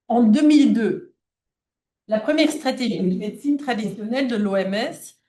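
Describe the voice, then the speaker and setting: A woman's voice: a little high, dark tone. A woman who is not a native French speaker, giving a formal academic lecture read aloud from a prepared text. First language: not French (non-native speaker).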